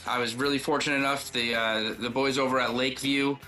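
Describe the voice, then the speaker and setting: Male country songwriter guest, medium register, bright forward tone, rambling conversational storyteller. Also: medium pitch, flat delivery, fast talker, rough recording. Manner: loose, rambling, informal. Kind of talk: casual interview chat telling personal stories.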